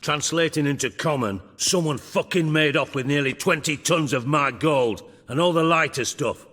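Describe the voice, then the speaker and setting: An actor playing a menacing, blunt underworld boss with dry sarcasm in a video game. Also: male voice, on the high side, moderate pace, fairly bright tone, in a large hall. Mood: businesslike. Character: menacing, sardonic, foul-mouthed male underworld crime boss.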